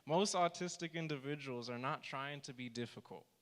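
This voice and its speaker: A soft-spoken man leads a church workshop, his voice bright and crisply resonant. He leans into each quoted line with earnest weight. The sound carries the long reverb of a church hall. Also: medium pitch, normal speed. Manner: earnest, reads slide quotes aloud with emphasis. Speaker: therapist presenting a session.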